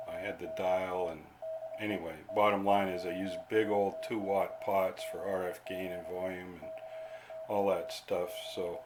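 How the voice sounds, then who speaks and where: Lowish voiced guy doing a casual hobby show and tell, kinda halting, noisy homemade audio. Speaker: amateur radio hobbyist.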